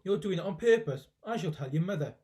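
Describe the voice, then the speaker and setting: A man's voice: high-pitched, expressive, fast. A man voicing a grumpy, nasty old grandmother who snaps and screeches in a children's storybook. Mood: scolding. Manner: snappish, shouting, scolding.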